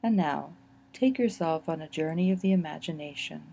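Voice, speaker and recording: A woman narrating a guided relaxation exercise in a gentle, soothing manner, with unhurried phrasing and pauses. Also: deep voice, gravelly, husky.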